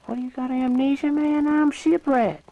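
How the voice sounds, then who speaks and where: A man's voice: extremely high pitch, fairly even intonation, moderate pace, warm tone, lo-fi sound, pitched above the performer's own voice. A man voicing a brash, easygoing sailor soldier with breezy slang, in an amateur cartoon dub.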